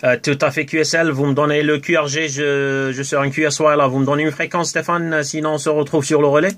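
A man making casual amateur radio contact as an operator, reading out call signs, with halting phrasing and repeats. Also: on the high side, flat delivery, a bit bright. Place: indoors at a radio desk.

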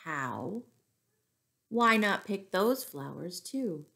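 Woman voicing a slow, sly big bad wolf. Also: medium pitch, very animated, gravelly, a bit bright, full character voice. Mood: sly, coaxing. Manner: growly, coaxing.

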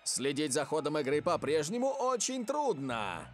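A man voicing an excitable football commentator in a cartoon, with a dramatic, exclamatory delivery. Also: a little high, moderate pace, slight background noise. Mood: uncertain, tense.

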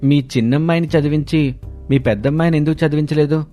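A man's voice: fairly high pitch, steady tone, fast, mellow, voice pushed up, natural voice. A male storyteller voicing a stubborn, impatient young groom in a blunt, insistent way. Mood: questioning. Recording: background music under voice.

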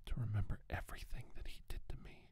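A middle-aged man playing a solemn, haunted doctor who survived an abduction, in a slow, halting, whispered ASMR monologue. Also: darkish tone, no room sound, close to an everyday voice. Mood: haunted, intense.

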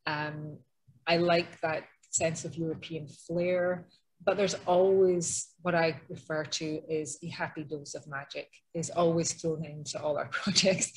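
A woman's voice: sits low, breathy edge, low-quality recording. A middle-aged woman with an Edinburgh Scottish accent, giving a webinar presentation over a video call, talking conversationally with frequent ums and restarts. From Scotland. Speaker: interior designer presenting a webinar.

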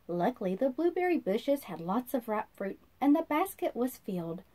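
Animated, high-voiced woman reading a storybook. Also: normal speed. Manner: sing-song, animated, asks listeners questions. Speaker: children's storyteller. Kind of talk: expressive read-aloud of a children's storybook. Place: small indoor room.

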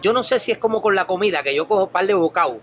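A man's voice: sits high, very fast, a little hiss or hum. A middle-aged man hosting a vlog in a small coffee shop, talking casually and emphatically close to the camera. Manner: animated, emphatic, gesturing. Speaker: vlog host. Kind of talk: casual chat. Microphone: camera held close at arm's length.

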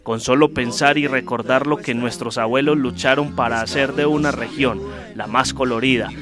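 A man's voice: mid-range voice, fairly even intonation, normal speed, over music. A man with an Antioquian Colombian accent hosting a travel show in an effusive, promotional way. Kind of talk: scripted travel narration and on-location chat.

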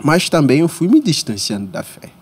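Man with a French first language, animated storyteller. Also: normal speed, breathy edge. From Congo. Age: 30s. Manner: animated storyteller, pitch jumps when excited. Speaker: podcast guest, missionary. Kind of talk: storytelling interview. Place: small podcast studio, indoors. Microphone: studio mic on boom arm.

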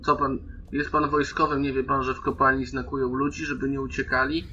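Man playing a bright-voiced, plaintive teenage boy. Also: mid-range voice, fairly quick, muffled recording, close to an everyday voice. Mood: needling. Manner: polite, plaintive, argumentative. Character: polite, wily, complaining fifteen-year-old boy. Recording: band-limited online call with steady noise.

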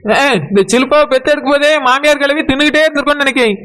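A woman's voice: high-pitched, fairly even intonation, very fast, a bit bright. A woman playing a sarcastic village sister-in-law in a cartoon, bantering mockingly with a southern Tamil Nadu accent. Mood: teasing sarcastic. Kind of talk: cartoon village comedy acting.

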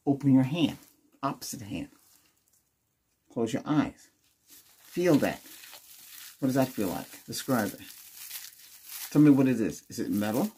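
A man's voice: medium pitch, animated, unhurried, long pauses, warm tone. Older man in noisy ASMR doctor roleplay. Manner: short prompting questions and instructions to a patient. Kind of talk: ASMR medical exam roleplay. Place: small indoor room with cloth backdrop.

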